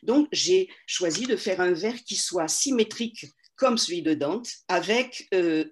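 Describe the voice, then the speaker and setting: An older woman, a literary translator, answering interview questions slowly and thoughtfully, pausing often and restarting phrases. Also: fairly low pitch, expressive, a little hiss or hum. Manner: slow, measured, many hesitations and restarts.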